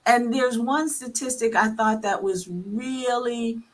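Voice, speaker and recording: A woman's voice: on the high side, takes it slowly. A woman physician talking earnestly in a casual panel conversation, pressing her points and tagging them with "okay?".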